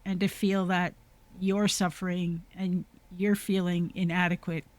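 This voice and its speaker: A woman's voice: lowish voice, flat delivery, measured pace, a little mellow, no echo. A woman hosting a podcast, speaking earnestly and reflectively in a casual conversation over a video call. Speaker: podcast host.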